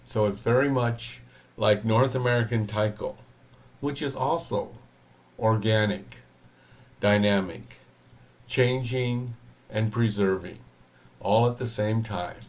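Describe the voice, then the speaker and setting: Slow, measured low-voiced reverend. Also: male voice. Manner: measured, reflective, with pauses and fillers. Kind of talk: prepared talk at an online panel.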